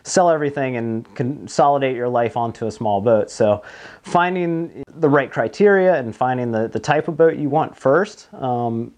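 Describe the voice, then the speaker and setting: A man in his thirties with a mid-pitched voice answers interview questions conversationally. He explains things as a working broker would, recorded indoors on a lapel mic. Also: normal speed, darkish tone. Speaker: marine broker, interview guest. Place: small office meeting room, indoors.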